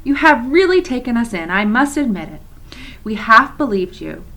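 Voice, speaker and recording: A woman's voice: fairly high pitch, big pitch swings, moderate pace, a bit bright. A woman reading aloud and voicing a teasing, bossy older brother who talks down to his sister. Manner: patronising, lecturing.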